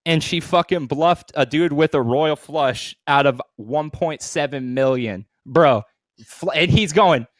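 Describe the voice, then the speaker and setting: Higher-voiced man chatting on a comedy podcast. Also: measured pace, dry recording. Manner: profane, slangy. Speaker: podcast co-host. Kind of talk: casual chat with comedic banter.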